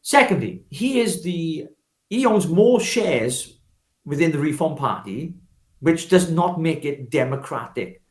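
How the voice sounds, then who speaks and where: A Welsh man with a fairly high voice that swings widely in pitch. He argues politics emphatically and spontaneously, like an orator, repeating himself for weight and posing rhetorical questions. The room around him is noticeably echoey. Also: lively intonation, unhurried. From Wales. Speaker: political activist guest, former parliamentary candidate. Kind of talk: spontaneous political interview talk.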